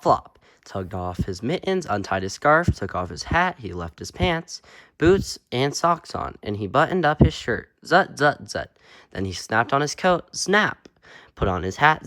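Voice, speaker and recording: A man's voice: mid-range voice, sharp tone, no room sound. A man reading a children's picture book aloud with playful, sing-song emphasis and punchy sound-effect words. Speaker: read-aloud storyteller.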